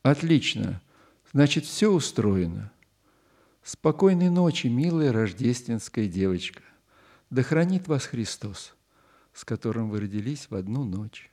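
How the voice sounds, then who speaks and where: A kindly old newspaper editor for a children's audio story. The voice is soft-edged and dark, with a fair amount of gravel. He phrases things with deliberate, old-fashioned politeness and a sentimental streak. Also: medium pitch, lively intonation. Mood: warm, courteous. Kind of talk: audio play acting for children.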